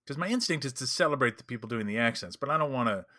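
A man's voice: medium pitch, lively intonation, very fast, bright, forceful tone. A man co-hosting a casual chat about game mastering, talking emphatically and often checking in with the listener. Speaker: podcast co-host.

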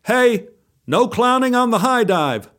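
A man's voice: very high-pitched, big pitch swings, unhurried, voice pushed up, full character voice. A man voicing a stern, exasperated pool lifeguard barking orders in a told story. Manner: loud, barking, commanding. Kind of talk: acted dialogue in a told story.

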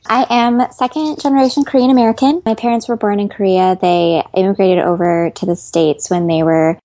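A woman's voice: mid-range voice, fairly even intonation, brisk, mellow, dry recording. A woman from Chicago, speaking as an actress guest in a casual interview, chatty and laughing often, with lots of "like" and "you know". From Chicago, US Midwest.

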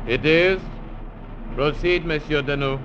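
An actor playing a stern, excitable prison commandant in an old radio drama, giving short commands. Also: male voice, fairly high pitch, unhurried, slight background noise. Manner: commanding, clipped exclamations. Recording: muffled old radio recording.

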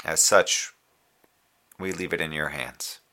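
A man playing a formal, dutiful moonbase communications officer giving a measured, precise report in an audio drama. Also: rumbling low, steady tone, pushed and bright, heavily acted. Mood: skeptical, formal. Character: formal, dutiful male moonbase radio officer, tender underneath. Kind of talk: audio drama scripted log transmission.

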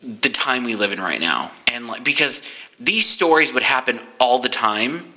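A man's voice: medium pitch, animated, normal speed, sharp tone. A man talking about his business in a casual, enthusiastic, playful way. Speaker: podcast guest, photo booth business owner.

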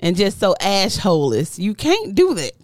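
A woman's voice: mid-range voice, wildly swooping pitch, moderate pace, darkish tone, dry recording, studio quality. A middle-aged woman chatting casually as a podcast co-host, teasing and exasperated in a slangy way. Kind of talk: casual banter with partner. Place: indoors at home.

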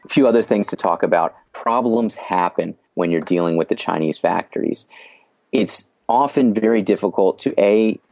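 A man with a very low voice talks through his business experience as an interview guest. He is measured and explanatory and often restarts a phrase before he finishes his point. The recording is dry and close. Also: gravelly, darkish tone, dry recording. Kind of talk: podcast interview storytelling.

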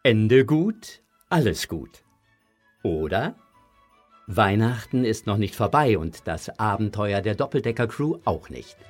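A male storyteller with a slightly low, husky voice narrates for children. His delivery is dramatic and suspenseful, with very lively intonation, and faint music plays behind him. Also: slow.

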